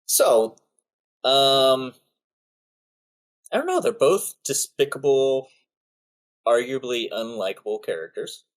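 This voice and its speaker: A man's voice: medium pitch, sing-song, slow pace, long pauses. A man co-hosting a podcast, chatting in an easygoing way with frequent hesitations. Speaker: podcast co-host. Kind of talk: casual chat.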